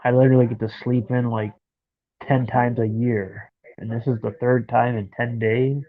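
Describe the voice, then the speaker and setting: A man's voice: mid-range voice, little pitch movement, normal speed, dry recording. A stuffed-up man in his thirties rambles casually to his live stream viewers from bed. His voice comes through a thin, phone-like line. The sound is dry and close, with no room around it. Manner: rambling, chatty, talks to viewers by name. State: stuffed-up nose. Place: in bed at home.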